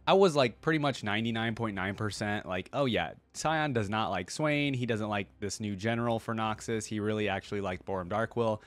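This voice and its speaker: Slightly low-voiced casual male reactor. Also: fairly quick, hardly any pauses. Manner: informal, slangy, expressive. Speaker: reaction video host. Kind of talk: casual off-the-cuff reaction commentary.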